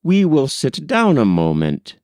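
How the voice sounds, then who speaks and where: A frank young gentleman from a storybook voiced by a male narrator, cheerful and full of banter. His pitch lifts and swings as he teases, in a dry, close recording. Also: huge pitch swings, a little slow, no room sound. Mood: friendly, polite.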